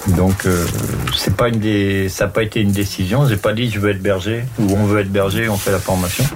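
A man's voice: on the low side, fairly quick, noisy background. A man in his sixties, a shepherd, talking casually and plainly while herding on a mountain pasture. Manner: relaxed, plain-spoken, teasing.

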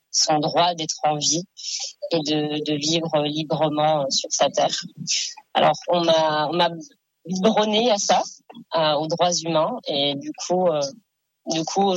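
Earnest woman guest, low voice, stop-start with fillers; dry, slightly dull studio sound. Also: dry recording. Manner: earnest, halting with many fillers. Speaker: activist guest. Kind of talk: studio radio interview. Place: radio studio.

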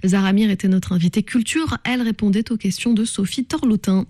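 A woman anchoring news radio, announcing segments and the time in a brisk manner. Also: mid-range voice, dark tone. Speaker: news presenter. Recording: music bed behind voice.

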